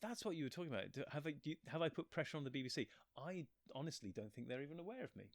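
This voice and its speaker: A man's voice: fairly high pitch, expressive, rapid-fire, no echo, clean studio sound. A man chatting casually as an interview guest, rambling and self-deprecating, often breaking off mid-thought. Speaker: physicist and author. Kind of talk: casual chat.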